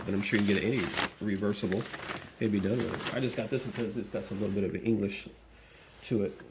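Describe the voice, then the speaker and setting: A man's voice: on the low side, gravelly, background noise. Chatty man talking while he works. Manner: chatty, thinks aloud while working; playful repetition of words. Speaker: DIY video host. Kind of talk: casual hands-on repair walkthrough. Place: workshop or garage, cold day.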